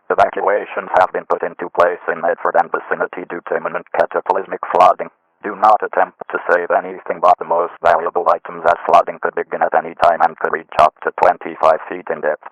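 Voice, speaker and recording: A man's voice as an automated emergency alert announcer, formal, stern and impassive, reading a scripted warning in a monotone. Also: deep voice, brisk, dry recording. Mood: impassive, grave. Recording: dull, dry lo-fi alert audio.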